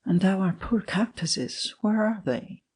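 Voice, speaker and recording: A man playing an ardent, lovesick young man, earnest and melancholy, with a husky voice and a yearning, confessional delivery in a literary dramatic reading. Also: sits high, lively intonation, slow pace, a little mellow, full character voice. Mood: nostalgic.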